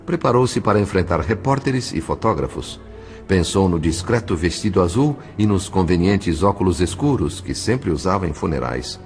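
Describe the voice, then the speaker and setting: Male narrator for audio fiction: low voice, measured scripted delivery, faint music underneath. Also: very animated, a little mellow.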